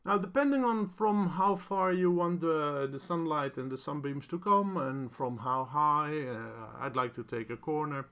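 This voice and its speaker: A man hosts a casual software tutorial, talking in a hesitant, halting way as he thinks aloud. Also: mid-range voice. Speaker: tutorial host.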